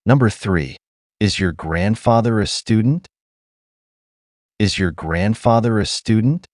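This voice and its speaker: Slow, lively-voiced man reading test items. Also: fairly low pitch, dry recording, studio quality. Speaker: listening-test narrator. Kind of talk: scripted test narration.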